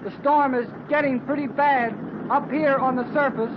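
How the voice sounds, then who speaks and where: An actor playing an eager, brisk boy radio operator in an old-time radio adventure, talking in earnest, slangy, urgent lines. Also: extremely high pitch, fairly even intonation. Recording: noisy, muffled old radio recording.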